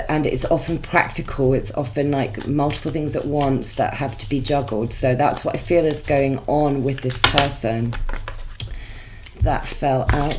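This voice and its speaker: A woman with a congested voice, chatting through a tarot reading with many musing pauses and restarts. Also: sits low, darkish tone, slight background noise, rough recording. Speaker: tarot reader.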